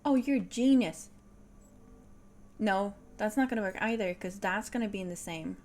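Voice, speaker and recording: A woman's voice: mid-range voice, normal speed, a little mellow, a little hiss or hum. A woman with a Swedish accent, Swedish being her first language, streaming a puzzle game and thinking aloud, often dropping to a whisper. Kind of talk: casual puzzle game livestream.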